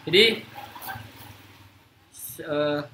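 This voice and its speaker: Higher-pitched man hosting, noisy room. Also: fairly even intonation, drawn-out words, sharp tone. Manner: informal, joking. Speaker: host. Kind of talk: casual live talk-show chat. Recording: noisy room, live stream.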